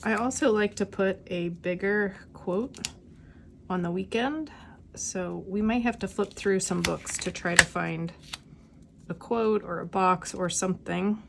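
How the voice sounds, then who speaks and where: A middle-aged woman with a cold and a slightly hoarse voice, musing aloud in a casual plan-with-me vlog at home. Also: mid-range voice, background noise. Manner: unhurried, musing aloud while crafting. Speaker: planner vlogger. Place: quiet home.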